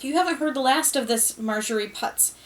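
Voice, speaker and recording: Woman doing a high loud bossy widow, testy. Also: animated, a little slow, recorded from a distance. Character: bossy middle-aged widow at a seance.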